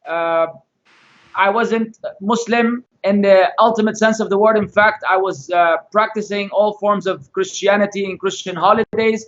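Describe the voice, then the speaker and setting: A man's voice: high voice, fairly even intonation, moderate pace, a bit bright, muffled recording. A cheerful, upbeat man from Lebanon in his thirties, a guest introducing himself on a live-stream chat over a video call. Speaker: guest lecturer. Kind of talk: casual live-stream interview.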